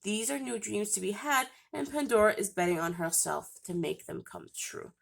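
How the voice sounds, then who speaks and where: A woman's voice: mid-range voice, moderate pace, vocal fry. A woman hosting a book channel, sounding nasal from a cold, reading book descriptions aloud with stumbles and self-corrections.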